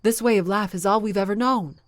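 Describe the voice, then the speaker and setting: A woman's voice: mid-range voice, fairly quick, no room sound, natural voice. A woman playing a humbled but loyal bandit in a fantasy drama, with plain, folksy outlaw speech. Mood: doubtful. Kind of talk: fantasy audio drama acting.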